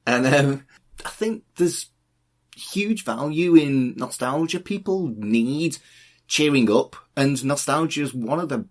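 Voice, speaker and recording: A man hosting a podcast interview, asking questions in a relaxed conversational way and swearing bluntly now and then. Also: medium pitch, takes it slowly. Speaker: podcast host, humanist celebrant. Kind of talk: casual interview.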